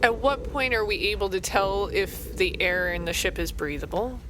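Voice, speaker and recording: A player at a tabletop game speaks casually, describing in-game actions. The recording has clear noise behind the voice. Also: medium pitch, normal speed.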